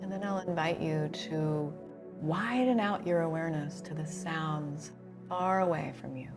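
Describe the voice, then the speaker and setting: A woman leading a guided relaxation as a yoga instructor, soothing and unhurried, with pauses between phrases. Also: low voice, over music.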